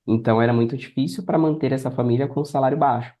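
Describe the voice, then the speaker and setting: A man's voice: mid-range voice, very fast, dull audio. A Brazilian man guesting on a podcast, casually sharing personal stories and advice, repeating phrases for emphasis.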